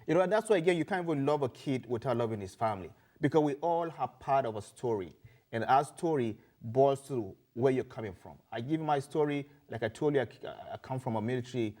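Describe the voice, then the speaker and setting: A man's voice: mid-range voice, animated, brisk, a little mellow. A man from Nigeria with a Nigerian accent, a guest in a casual interview, talking earnestly and often repeating words as he searches for them.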